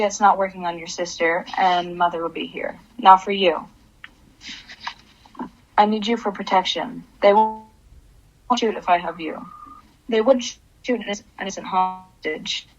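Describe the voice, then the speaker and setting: A woman's voice: mid-range voice, close to an everyday voice. Woman playing menacing vengeful mother, dull lo-fi sound. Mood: cold, menacing. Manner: intense, commanding. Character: grief-crazed vengeful mother with a gun, menacing. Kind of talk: dramatic radio play acting with narration.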